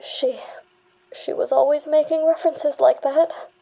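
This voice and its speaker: A woman acts out a young female bank worker in an audio drama, giving a witness statement. The voice is fairly high and soft-edged, a little dark in tone. The delivery is halting and stammering, yet defensive and insistent. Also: fairly even intonation, creaky, poor audio. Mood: shaken, halting. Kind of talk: dramatic audio fiction acting.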